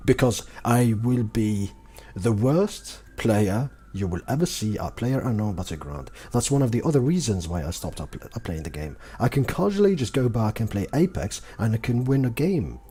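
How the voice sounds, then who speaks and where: A young man streaming a game, talking slowly and calmly in a soothing voice. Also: on the low side, husky. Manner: slow, calm, deliberate talk. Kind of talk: casual gaming stream chat.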